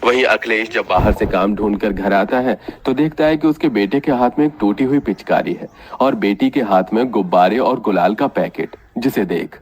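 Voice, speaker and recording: A man's voice: on the low side, moderate pace, few pauses. A man narrating a scripted children's story as the storyteller.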